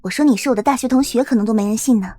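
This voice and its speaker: A woman's voice: a little high, racing, dark tone, no echo, natural voice. A woman playing a cheerful, blunt college student in an audio drama. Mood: bright, eager. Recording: faint music behind.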